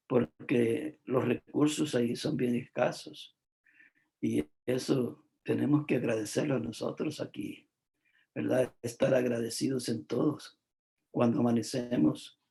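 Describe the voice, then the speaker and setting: A man from El Salvador, preaching a devotional sermon in a slow, emphatic, repetitive way, with frequent filler words. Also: medium pitch, vocal fry, mellow.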